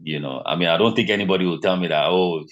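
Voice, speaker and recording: Brief: man in his thirties from Nigeria, Yoruba first language, very low voice, easygoing chatty guest. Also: fast talker. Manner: relaxed, lots of fillers like "you know" and "man". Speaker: podcast guest. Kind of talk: casual conversation.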